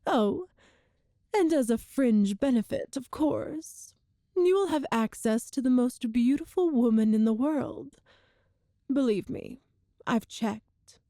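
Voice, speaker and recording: A woman playing a cruel, seductive empress in a scripted audio roleplay, speaking with teasing, formal, deliberate diction. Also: fairly high pitch, warm tone, no room sound, studio quality. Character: cruel, seductive evil empress, proud and calculating. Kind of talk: scripted audio roleplay, ASMR-style monologue to the listener.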